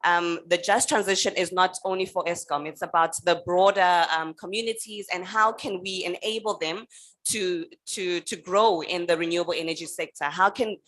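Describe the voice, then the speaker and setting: A woman speaking on a conference panel, with a lowish voice for a woman. She answers in a measured, steady way, pausing often to gather her words. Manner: measured, with frequent um fillers. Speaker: energy utility representative on a panel.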